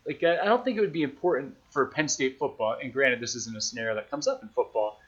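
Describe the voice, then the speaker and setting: A man speaking as a radio announcer guest, giving thoughtful, measured answers in a casual conversational interview. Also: medium pitch, a bit bright, husky, lo-fi sound.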